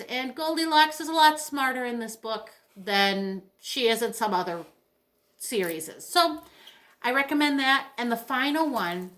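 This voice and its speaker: A middle-aged woman with a very bright, crisp-edged voice pitched a little high. She talks like a librarian sharing recommendations, informal and chatty, with open enthusiasm. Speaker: librarian presenting book recommendations. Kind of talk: casual book talk, recommending books.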